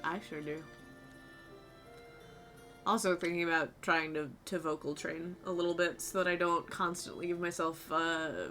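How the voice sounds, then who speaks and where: Low-voiced woman with vocal fry. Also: fairly bright tone. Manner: rambling, talks to chat, swears casually. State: voice tired and sore. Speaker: streamer. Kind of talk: casual stream chat. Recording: faint music behind.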